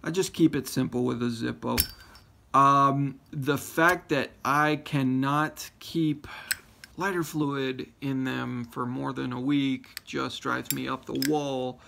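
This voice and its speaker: A man raised in Florida chats slowly through a casual show-and-tell, pausing often and restarting his thoughts. His voice has a gravelly, fry-edged texture at a mid pitch. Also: fairly even intonation, pushed and bright, slight background noise. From Florida, born in Ecuador. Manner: rambling, many ums and restarts. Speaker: pipe-smoking YouTuber showing his lighters.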